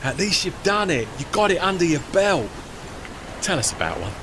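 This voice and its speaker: An actor voicing a chummy, unschooled working steamboat in an audio play, blunt and colloquial, with a London Cockney accent. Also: male voice, on the high side, very animated, normal speed, background noise. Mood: curious, envious. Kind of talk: audio drama dialogue.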